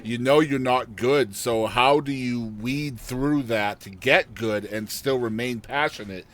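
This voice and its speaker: A man's voice: medium pitch, unhurried, fairly bright tone. Friendly-paced male podcast host asking questions. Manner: affirming, asks rambling questions. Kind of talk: casual interview conversation.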